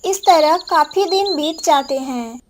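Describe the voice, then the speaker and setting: A woman's voice: sits high, takes it slowly, a little hiss or hum. A woman narrating a cartoon moral story in a scripted storytelling style.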